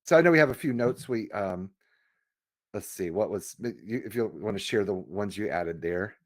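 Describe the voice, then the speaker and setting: A man co-hosting a casual chat about computer history, haltingly thinking out loud as he goes. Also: fairly low pitch, expressive. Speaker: podcast co-host.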